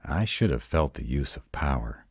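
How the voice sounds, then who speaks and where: Very deep, grumpy male were-dragon, acted by a man. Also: big pitch swings, normal speed, breathy edge, dry recording, clean studio sound, full character voice. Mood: grudging, puzzled. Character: grumpy, protective tsundere male were-dragon guarding his mountain. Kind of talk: scripted roleplay audio drama.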